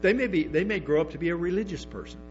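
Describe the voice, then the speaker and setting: A man's voice: on the high side, expressive, fast. Man preaching a lo-fi sermon. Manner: repetitive restarts, emphatic asides to the congregation. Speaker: pastor. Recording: dull lo-fi sermon recording with music and hum.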